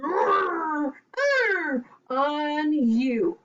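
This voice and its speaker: A woman's voice: sits high, very animated, very slow, a bit bright, dull audio, a little hiss or hum, pitched above the performer's own voice, exaggerated voice. A woman voicing an enormous bully of a giant in a storybook, blustering and faltering. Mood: blustering, menacing. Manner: blustering, stammering. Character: enormous bullying giant, cowed by his mama.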